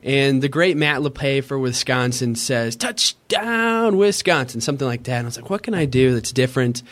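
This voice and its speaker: A young man with a high, squeaky voice co-hosting a casual fantasy sports podcast, excitable and jokey. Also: normal speed. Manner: excitable, cheers at the game, jokey. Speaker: podcast co-host.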